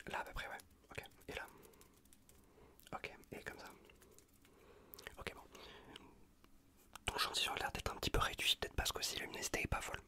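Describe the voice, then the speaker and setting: Guy whispering asmr right up on the mic, bright breathy hiss, casual and reassuring exam roleplay. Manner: hushed, reassuring, repetitive, casual slangy talk. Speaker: ASMR performer playing an untrained medic. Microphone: close USB condenser microphone.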